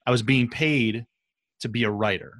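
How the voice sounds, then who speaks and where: A man's voice: medium pitch, moderate pace, a bit bright, no room sound. A man hosting a podcast, chatting casually and telling anecdotes with emphatic, repeated phrases. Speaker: podcast host, interviewer.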